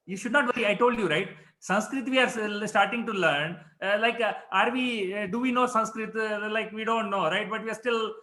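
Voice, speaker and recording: A man's voice: high-pitched, a little fast, hardly any pauses, sharp tone, roomy sound. A man teaching a Sanskrit class over a video call, encouraging his students and mixing Hindi words into his English. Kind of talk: online class introductions.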